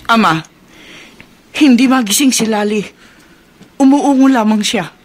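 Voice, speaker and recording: An actress playing an anxious young daughter in a Filipino horror radio drama, earnest, worried and urgent. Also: female voice, a little high, unhurried.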